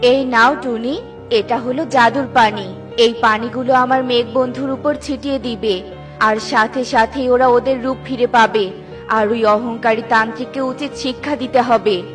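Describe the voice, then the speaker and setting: A kind yet commanding fairy from a children's cartoon, acted by a woman. She speaks with calm authority in a pitch lower than the narration, over light music. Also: normal speed, close to an everyday voice. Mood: kind, instructing. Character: kindly but firm female fairy with magic powers.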